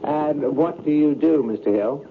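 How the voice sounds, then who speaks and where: An actor playing an erudite, bumbling professor who is gentle and formal in an old-time radio drama. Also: male voice, on the high side, lightly acted. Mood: measured, wry. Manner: formal, deliberate, bookish. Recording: noisy, tinny old radio recording.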